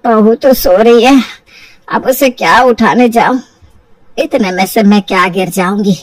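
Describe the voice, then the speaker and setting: A woman's voice: mid-range voice, a little slow, no echo, recorded from a distance, pitched below the performer's own voice, natural voice, poor audio. A woman voicing a plaintive, fond older mother-in-law in an acted Hindi storybook. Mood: weary, reassuring.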